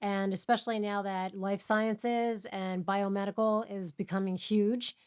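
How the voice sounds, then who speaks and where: A woman's voice: medium pitch, fairly even intonation, a little slow, a little mellow, clear, resonant tone. A middle-aged woman hosting a podcast, chatting casually in long run-on sentences full of industry terms. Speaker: podcast host. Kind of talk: casual chat.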